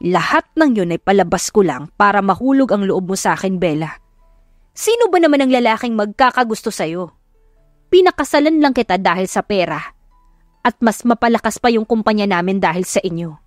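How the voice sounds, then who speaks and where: A woman's voice: medium pitch, lively intonation, a little fast, no room sound, studio quality, lightly acted. A woman voicing a cruel, deceitful husband in an audio love drama, scornful and taunting. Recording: music behind the voice.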